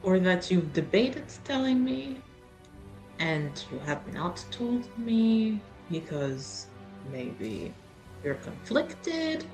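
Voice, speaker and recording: A woman playing a tabletop roleplaying game, chatting casually and improvising in character in a halting, easily distracted way. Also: mid-range voice, very animated, darkish tone, soft background music.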